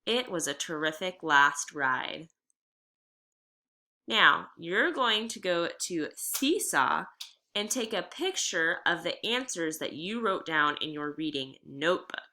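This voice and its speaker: A woman teacher giving a read-aloud lesson, explaining deliberately and reading expressively. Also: lowish voice, expressive, pushed and bright.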